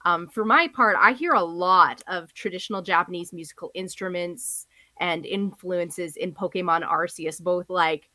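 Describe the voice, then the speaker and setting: A woman co-hosting a casual podcast, with a bright, clear voice. She talks with many ums and likes, feeling her way through her points. Her voice is recorded close and dry. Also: lowish voice, normal speed, dry recording. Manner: hesitant with many fillers, laughs easily. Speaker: podcast co-host. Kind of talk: casual discussion.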